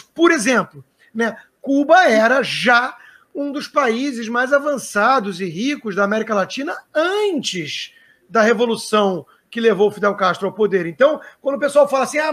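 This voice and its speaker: A man's voice: very high voice, a bit bright. A man hosting an interview, talking in long conversational runs with frequent restarts and hesitations. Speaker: interviewer, show host.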